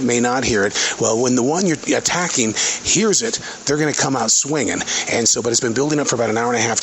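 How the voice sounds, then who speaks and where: A man's voice: mid-range voice, fairly bright tone, a little hiss or hum, low-quality recording. A man from the American South, hosting his podcast as a chatty, sarcastic work diary recorded while walking around a retail store. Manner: chatty, wry, sarcastic, restarts often. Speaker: podcast host. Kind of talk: casual spoken work diary.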